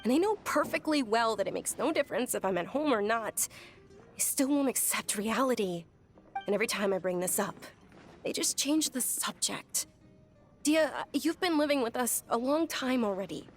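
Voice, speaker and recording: A woman's voice: a little high, expressive, normal speed, soft background music. An actress playing a polite but headstrong young noble lady in a game, speaking earnestly. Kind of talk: game voice acting.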